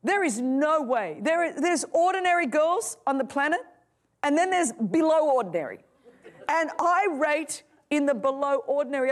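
A middle-aged woman preaching and telling her own story, passionate, moving between loud, soaring lines and quiet, hushed ones. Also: high voice. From Australia. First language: Greek. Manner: impassioned, swings from loud to soft. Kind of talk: sermon testimony.

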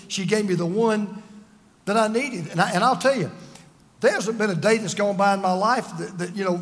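A man over fifty preaching a sermon, earnest and emphatic, telling personal stories with self-deprecating humor. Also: high-pitched. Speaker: pastor. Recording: long reverb of a large hall.

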